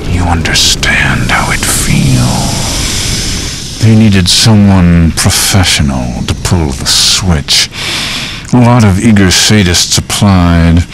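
A man's voice: low voice, exaggerated voice. An actor voicing a sadistic executioner's spirit in a video game, creepy and intimate, savoring his words and sometimes whispery. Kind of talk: video game villain monologue.

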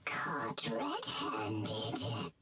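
A woman's voice: extremely low pitch, exaggerated voice. A woman playing a sly, gloating masked mage monster in a video game, with a theatrical, sneering delivery. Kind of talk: video game villain acting.

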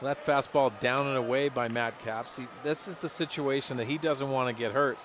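A man's voice: mid-range voice, fairly even intonation, normal speed. An American man giving live baseball analysis on radio, explaining plays conversationally and rephrasing as he goes. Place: stadium broadcast booth. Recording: noisy stadium crowd behind. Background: ballpark crowd and stadium ambience.

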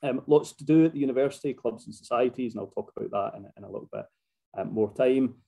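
A man's voice: medium pitch, fast talker, gravelly, warm tone, breathy edge. A man in his thirties with a Glasgow Scottish accent, presenting a university webinar over a video call, with frequent ums and restarts. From Scotland. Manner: hesitant, many fillers and restarts. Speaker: university international recruitment officer. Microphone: computer mic on a video call.

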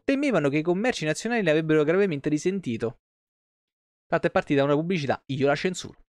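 Higher-voiced man, dry close sound. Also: no room sound. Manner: colloquial, profane, stumbles and self-corrects while reading. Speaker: live streamer. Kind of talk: reading game lore aloud with casual asides. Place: small room at home. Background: faint background music.

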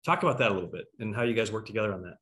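A man's voice: fairly low pitch, very fast, fairly bright tone. A middle-aged man hosting a conversational talkback over a video call, searching for words as he goes. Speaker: talkback host. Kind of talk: conversational panel moderating. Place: room at home, indoors.

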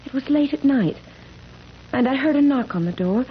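Casting: earnest eternal eighteen-year-old girl, high pleading voice, 1970s radio play, muffled with faint hiss. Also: lively intonation, moderate pace. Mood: earnest, insistent. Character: earnest eighteen-year-old immortal young woman, French-born centuries ago. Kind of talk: vintage radio drama acting.

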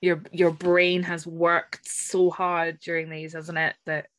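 A woman's voice: lowish voice, flat delivery, slow pace, fairly bright tone, slight background noise. A middle-aged woman, hosting a casual panel discussion over a video call. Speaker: podcast host.

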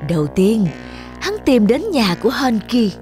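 Female narrator for a children's fairy tale, slightly high voice, music playing behind. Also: expressive, a little slow. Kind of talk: scripted children's story narration.

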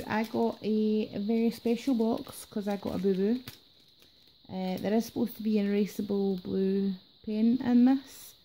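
Sick woman with a gravelly, dark voice. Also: medium pitch, a little hiss or hum, rough recording. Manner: chatty, enthusiastic about items. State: sick, voice affected. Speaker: planner unboxing vlogger. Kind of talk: casual unboxing chat. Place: indoors at a table. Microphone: camera filming her hands from above.

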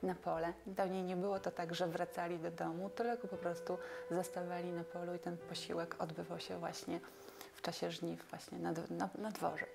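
Woman, forties, folk-culture expert in documentary interview; lowish, creaky voice over music. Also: little pitch movement, normal speed. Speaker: museum expert on folk customs. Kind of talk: explanatory documentary interview talk. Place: furnished indoor room.

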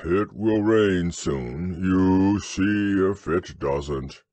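A man voicing a gloomy, self-pitying male donkey who drawls slowly and sighs. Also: deep voice, darkish tone, no echo, heavily acted. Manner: slow, mournful, sighing drawl.

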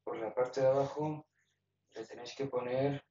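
A man's voice: mid-range voice, steady tone, normal speed, mellow, recorded from a distance. A man casually explaining, step by step, how he rigs his gear, talking in a stop-start way while handling it. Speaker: kayak fishing hobbyist showing his gear. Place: garage with roll-up door. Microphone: phone camera held in hand. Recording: echoey garage, phone mic, dull and noisy.

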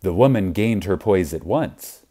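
A man narrating an audiobook in a reverent, emphatic manner with deliberate, dramatic pauses. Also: deep voice, expressive, mellow.

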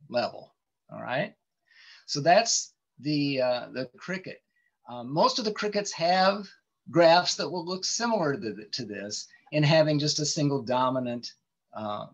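A man giving an informal online lecture over a video call, explaining things with many ums and restarts. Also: medium pitch. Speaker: presenter giving a webinar talk. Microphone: computer mic on a video call. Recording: dull lo-fi call audio.

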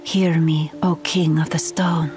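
Music sits behind a serene female spirit messenger from a game. Her low, breathy voice moves slowly and ceremonially, with solemn, archaic phrasing. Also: little pitch movement. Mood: solemn, mystical.

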